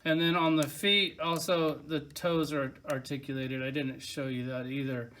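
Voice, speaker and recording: A man's voice: a little high, flat delivery, normal speed, fairly bright tone. A man casually reviewing toys from his collection, enthusiastic and rambling, with lots of filler words. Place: at home by his collection shelves.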